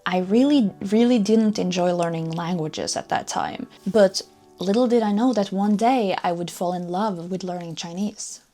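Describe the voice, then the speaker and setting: A woman's voice: mid-range voice, normal speed, dark tone, soft background music. A woman in her twenties from Norway with a Norwegian accent, narrating her own story in an earnest, upbeat way. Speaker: vlogger. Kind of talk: scripted personal narration.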